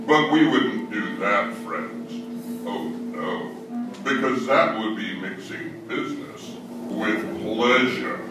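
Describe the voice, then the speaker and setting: A man's voice: lowish voice, animated. Ghoulish, sardonic male radio horror host, actor-played; crawling pace, theatrical delivery, distant from the microphone. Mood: gleefully macabre. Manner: slow, theatrical, darkly jovial. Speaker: host of a horror anthology play. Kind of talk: old-time radio horror introduction. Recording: roomy distant stage recording, clear music behind.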